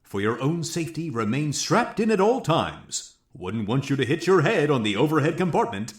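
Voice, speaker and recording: A theatrical space launch announcer, voice swoops all over, echoey room. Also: male voice, a little high, very animated, normal speed. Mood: grand, welcoming. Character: showy male mission control announcer for fake spaceflight. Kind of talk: theatrical scripted audio drama.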